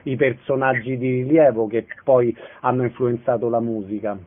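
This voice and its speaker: A man's voice: medium pitch, steady tone, moderate pace, phone-quality sound. Male radio host, thin band-limited sound. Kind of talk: radio interview hosting.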